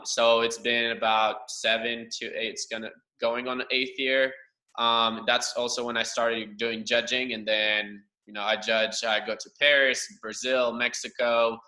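Bright-voiced Romanian-born man. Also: mid-range voice, low-quality recording. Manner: earnest, thankful, long winding answers. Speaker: guest, cheer assistant coach. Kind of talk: casual interview over a livestream.

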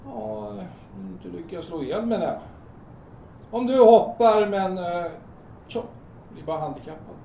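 A man's voice: high voice, lively intonation, gravelly, mellow. Lightish-voiced blunt man, played by an actor, roomy. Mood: wary, blunt. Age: 30s. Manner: hesitant, matter-of-fact, colloquial. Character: blunt, casual thirtyish man talking down a would-be jumper. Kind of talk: audio drama acting. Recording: roomy, distant, noisy amateur-sounding recording.

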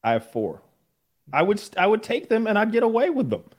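A man's voice: sits high, huge pitch swings, brisk. A man hosting a casual podcast debate, talking bluntly with an incredulous, mocking manner. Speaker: podcast host. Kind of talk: casual argumentative podcast chat.

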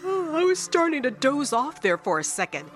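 An actress playing a dreamy, wide-eyed dream character who comments on events in a radio drama. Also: female voice, high-pitched, sing-song, normal speed. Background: music.

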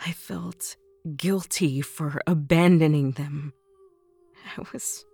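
A woman's voice: sits low, a little mellow, breathy edge, heavily acted. A woman playing a bitter, wounded human outcast in a science fiction audio drama, brooding and confessional.

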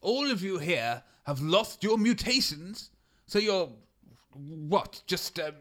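A man's voice: high-pitched, very animated, takes it slowly, fairly bright tone, exaggerated voice. A man playing a stammering, exasperated presenter. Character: bumbling, sarcastic male presenter.

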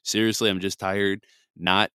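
A man from Michigan hosts a scripture podcast while congested from a cold. His voice sits low and stays smooth, and the close mic is recorded dry. He rambles with many fillers, then slows down to read scripture deliberately. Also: little pitch movement, pushed and bright, dry recording. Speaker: podcast host. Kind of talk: scripture reading with casual commentary.

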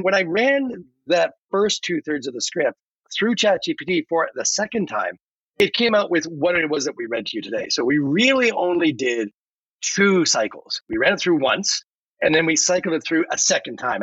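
Very animated, bright, husky man in conversation. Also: a little high, normal speed. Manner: emphatic, acts out quoted dialogue. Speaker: podcast guest who voiced a chatbot script. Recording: roomy, slightly dull lo-fi sound.

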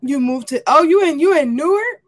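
A woman's voice: high-pitched, expressive, normal speed, no echo. A woman co-hosting a podcast, chatting casually in a laid-back, slangy, teasing way. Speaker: podcast co-host. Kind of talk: casual chat.

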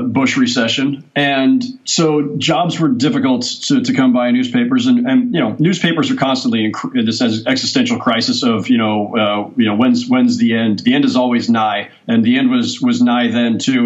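A man's voice: mid-range voice, lo-fi sound. A sportswriter in his forties, a guest on a podcast, telling his story in a thoughtful, rambling way. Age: 40s. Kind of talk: casual interview talk.

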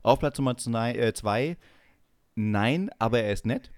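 A man's voice: mid-range voice, a little slow, dry recording. Teasing male comedy podcast host, dry sound. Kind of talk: casual comic banter.

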